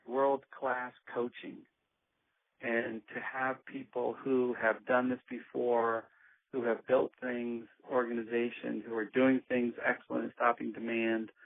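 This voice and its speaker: A man speaking earnestly on a conference call, measured and pausing often with ums as he lays out plans for his organization. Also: medium pitch. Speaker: organization leader. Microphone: phone. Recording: tinny phone line.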